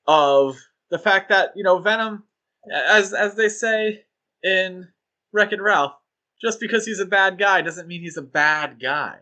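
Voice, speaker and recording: A man co-hosting a podcast, talking in a casual, animated, rambling way with fillers and restarts. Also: on the high side. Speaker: podcast co-host.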